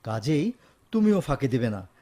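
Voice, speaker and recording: An actor in a Bengali radio drama playing an affectionate young father and devoted son, gentle and earnest, with a Bangladeshi accent. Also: medium pitch, very animated, normal speed. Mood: fatherly, encouraging.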